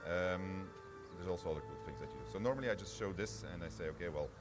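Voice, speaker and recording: A man's voice: low voice, quick pace. A man giving an informal live gameplay demo as a developer, hesitant and thinking aloud as he goes. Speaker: game developer presenting a demo. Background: loud music.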